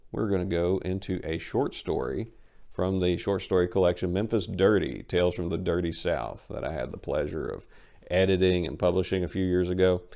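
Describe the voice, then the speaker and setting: A man speaking as a channel host and writer, introducing things to listeners in an unhurried, theatrical way. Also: low-pitched, creaky, darkish tone.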